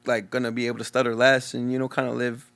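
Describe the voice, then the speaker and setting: A man's voice: medium pitch, monotone, quick pace, creaky, a bit bright, clean tone. A young man from Texas with a Texan accent who stutters openly, talking casually and earnestly as a guest DJ in an interview. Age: young adult.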